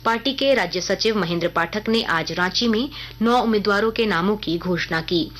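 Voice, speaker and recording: Female news reader, scripted radio bulletin, formal, measured, mid-pitched voice. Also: a bit bright.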